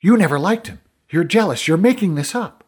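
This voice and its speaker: A headstrong young princess from a fantasy storybook, acted out by a man, indignant and forceful. The voice is pitched high and swoops with feeling, with a husky edge. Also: very animated, moderate pace. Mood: scornful.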